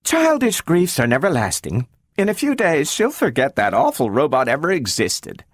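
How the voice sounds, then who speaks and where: A man reading aloud and voicing a gentle, anxious mother who coaxes her child slowly. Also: a little high, wildly swooping pitch, no echo, studio quality, voice pushed up. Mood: coaxing. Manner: slow and coaxing.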